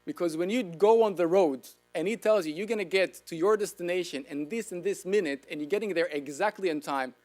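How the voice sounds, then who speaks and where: A man's voice: high voice, fairly quick, few pauses, darkish tone, faint music behind. A man with an Israeli accent, lecturing an audience with enthusiasm and asking them questions. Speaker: speaker.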